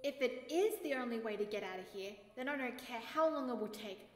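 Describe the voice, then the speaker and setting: A determined young mare, acted by a woman, speaks in a fairly high voice with earnest, casual teenage phrasing. She is a proud heroine of a fantasy audio drama, heard with heavy echo and reverb. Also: expressive, a little fast, natural voice. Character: determined, proud young female pony, nineteen. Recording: echoey reverberant room.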